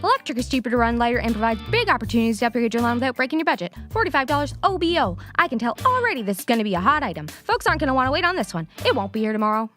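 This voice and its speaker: A woman's voice: a little high, sing-song, very fast, hardly any pauses, a bit bright, faint music behind. A woman playing an opinionated, mock-serious pundit on a comedy talk show.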